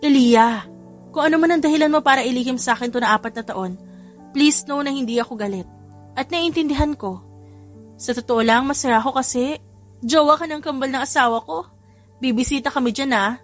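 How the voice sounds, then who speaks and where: A woman with a Filipino accent voicing an excited, forgiving young best friend in a romance audiobook. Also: high voice, fairly quick, long pauses, soft background music. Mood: excited, warm. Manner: excited, affectionate.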